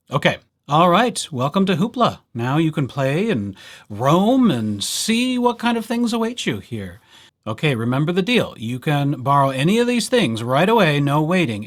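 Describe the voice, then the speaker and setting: A man giving a casual software walkthrough as a librarian, in a playful, wry and chatty way. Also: fairly high pitch. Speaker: librarian presenting a tutorial series.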